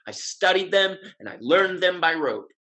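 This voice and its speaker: A man performs a witty, amorous gentleman schemer from a Restoration stage comedy. His voice is bright and forward. He speaks ornate period lines with wry, articulate polish. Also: high voice, expressive, moderate pace, slight background noise. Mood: wry, fond. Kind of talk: Restoration comedy play reading.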